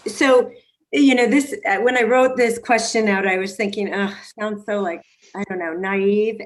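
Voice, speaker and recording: A woman informally moderating an online panel over a video call and putting questions to the speakers. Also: mid-range voice. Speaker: discussion moderator.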